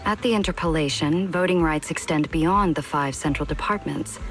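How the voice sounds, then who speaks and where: Low voiced lady boss in a game, calm, formal, dutiful, shrewd, bit of music behind. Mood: calm, calculating. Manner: measured, formal, resolute. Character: composed, dutiful female department head, shrewd political strategist. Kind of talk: video game cutscene voice acting.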